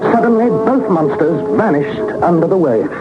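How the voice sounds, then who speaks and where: An actor playing a young gentleman adventurer recounting peril in a suspenseful, literary 1960s British radio drama style. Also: a little high, sing-song, moderate pace. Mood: tense awe. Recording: dull 1960s radio recording, loud music behind.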